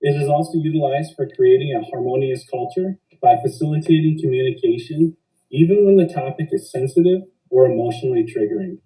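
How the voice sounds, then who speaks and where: A man hosting a podcast, speaking in a measured, formal way. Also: mid-range voice, mellow, recorded from a distance, low-quality recording. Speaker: podcast host. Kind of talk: semi-scripted podcast hosting.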